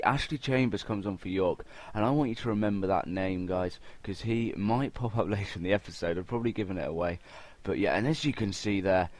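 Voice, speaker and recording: Young man, deep-pitched voice, dry sound, informal gaming host, chatty commentary aimed at viewers. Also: no echo. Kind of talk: casual gameplay commentary.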